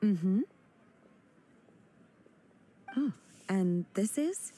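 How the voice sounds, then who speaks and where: A woman voicing a reserved, earnest young female disciple in a video game, calm, polite and blunt. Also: mid-range voice, slow pace, slight background noise. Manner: calm, polite, literal-minded.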